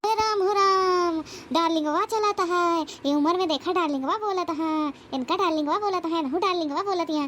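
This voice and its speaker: A woman voicing a sharp-tongued, angry old village wife in a comedy cartoon, scolding and taunting with an Awadhi accent. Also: extremely high pitch, a little fast, a little hiss or hum. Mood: scornful. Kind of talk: rustic comedy cartoon acting.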